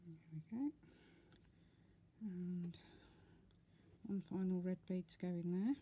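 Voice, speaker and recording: A woman's voice: on the low side, slow pace, highs cut off, slight background noise. A woman giving a casual, step-by-step craft tutorial, talking informally and unhurriedly as she works.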